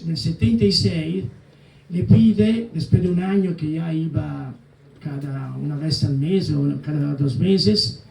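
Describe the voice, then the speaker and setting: Older man with an Italian accent, gravelly mellow tone, hesitant and restarting, distant mic in an echoey hall. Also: a little high. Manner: halting, many fillers and restarts, mixing Italian words. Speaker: co-author guest. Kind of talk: public book presentation talk.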